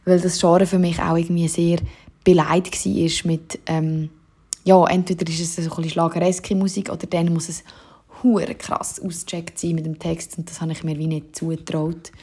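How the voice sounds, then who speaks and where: Mellow husky Swiss German woman. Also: fairly low pitch. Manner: casual, many fillers and "wie". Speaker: singer-songwriter. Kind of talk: interview answers.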